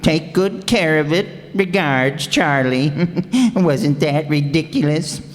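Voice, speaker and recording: Woman playing meek little man, mischievous, prim, giggly, low female register, unhurried, old-time radio comedy, hall echo. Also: lively intonation. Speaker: radio player in a live re-enactment. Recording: echoey hall stage recording.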